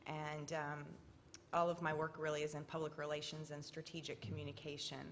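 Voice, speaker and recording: Woman panelist, low and monotone, casual; faint ambience. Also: a little fast, slight background noise. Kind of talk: panel self-introduction.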